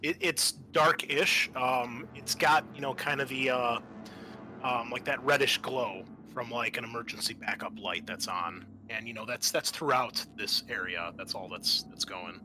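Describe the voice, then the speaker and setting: A man narrating a tabletop roleplaying game as game master, in a halting, conversational way. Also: medium pitch, pushed and bright. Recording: faint background noise.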